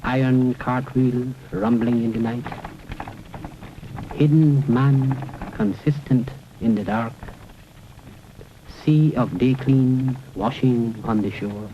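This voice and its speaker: Male narrator for solemn poetry in a documentary: slow, deliberate, dramatic phrasing, on a muffled, noisy vintage soundtrack. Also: medium pitch. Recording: dull 1970s film soundtrack, clearly noisy.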